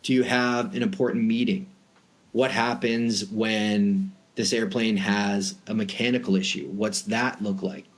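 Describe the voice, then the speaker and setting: A man's voice: lowish voice, fairly even intonation, unhurried. A man with a Boston accent explaining his industry conversationally and emphatically, as an expert guest in an interview. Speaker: private jet charter broker, interview guest.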